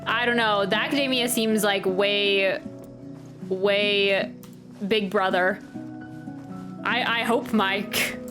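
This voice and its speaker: A woman's voice: mid-range voice, steady tone, slow pace, long pauses. A woman giving casual live game commentary, musing and thinking aloud. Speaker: game reaction streamer. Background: game music.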